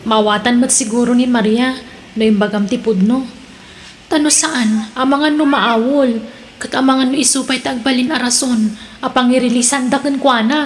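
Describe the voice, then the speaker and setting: Supportive woman friend actress, high pitch, Ilocano accent, radio serial acting, faint background noise. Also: steady tone, moderate pace, close to an everyday voice. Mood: concerned. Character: caring, urging female friend Sheila.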